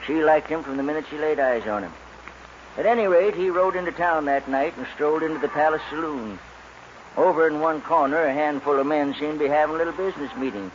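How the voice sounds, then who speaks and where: Easygoing cowboy narrator male actor, folksy, slightly high voice, dull-sounding 1940s radio western. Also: fast talker, close to an everyday voice. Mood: easygoing storytelling. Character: folksy old-West storyteller spinning a yarn to the boys. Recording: muffled, noisy 1940s radio recording.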